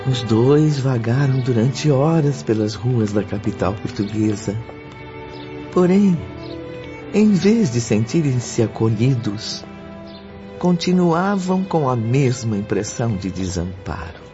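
A man's voice: medium pitch, animated, slow. An actor as the solemn male storyteller of a radio serial, reading scripted dramatic narration. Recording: muffled audio under prominent music.

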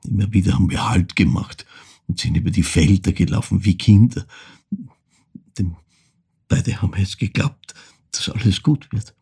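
An actor playing a haunted older man with an Austrian accent, telling of his mother in a halting, intimate theatrical monologue with a husky, creaky voice. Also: deep voice, warm tone, heavily acted. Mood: wistful. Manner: halting, brooding, shifting into whispers.

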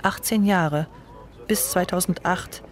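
A woman narrating a radio documentary in a measured, literary first-person style. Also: fairly low pitch, soft background music.

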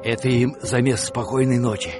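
An actor playing a brash, cheeky petty rogue in a radio play, talking in swaggering slang over music. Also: male voice, medium pitch, steady tone, moderate pace, lightly acted. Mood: brash, cocky.

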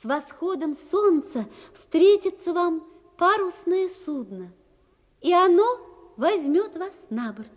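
An actress playing a gentle, mysterious young phantom woman in an audio drama, speaking solemnly in measured, poetic phrases. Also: sits high, heavily acted. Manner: solemn, measured, with drawn-out pauses. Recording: long cavernous reverb under music.

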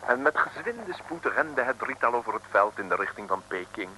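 An actor playing the brisk storyteller of an old adventure radio serial, with a Dutch accent, on a noisy recording. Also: male voice, mid-range voice, animated, close to an everyday voice. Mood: brisk, dramatic. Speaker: narrator. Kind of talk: 1950s-60s radio serial narration.

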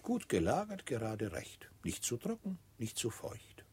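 Radio play role: proud, fussy old coachman, very husky low voice, hugely animated intonation. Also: measured pace, heavily acted. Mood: musing, content. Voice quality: husky, breathy. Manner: musing, fussy, incredulous. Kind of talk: absurdist radio play acting.

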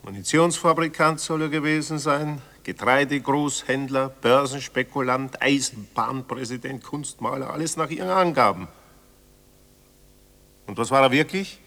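A man's voice: mid-range voice, moderate pace, a bit bright, natural voice. An actor in a German radio drama playing a pedantic, condescending male official, officious and mock-polite. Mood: dryly sceptical.

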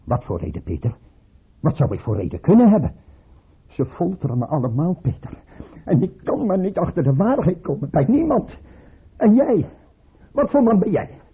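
A man playing a suspicious Russian emperor in a period radio play, erratic and husky, swinging from muttered questions to shrill commands. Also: big pitch swings, normal speed, pauses often, full character voice. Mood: tormented, agitated. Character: volatile, suspicious Russian emperor, imperious yet pleading. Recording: muffled, tinny old radio recording.

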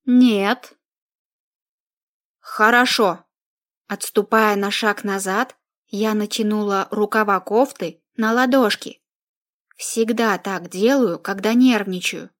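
A woman narrating first-person fiction as an audiobook, with a measured, expressive reading. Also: medium pitch.

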